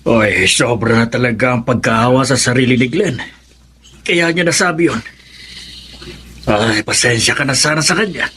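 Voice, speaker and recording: A man's voice: mid-range voice, normal speed, long pauses, sharp tone, natural voice. A man acting a sympathetic, folksy older confidant in a Tagalog radio drama, with an exclamatory manner. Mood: concerned, surprised. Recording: faint background noise.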